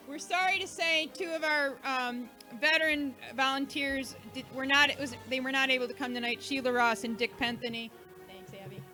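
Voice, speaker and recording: A woman's voice: sits high, sharp tone. A woman hosting an event, giving an informal, chatty, joking thank-you speech to a live audience. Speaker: event host and organiser.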